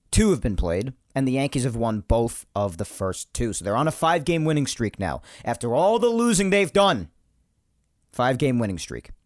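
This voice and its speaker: A man hosting his own sports podcast, chatty and rambling, his voice slightly hoarse from yelling earlier. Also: mid-range voice, dry recording, studio quality. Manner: animated, chatty, rambling asides. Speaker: podcast host. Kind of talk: solo sports podcast monologue.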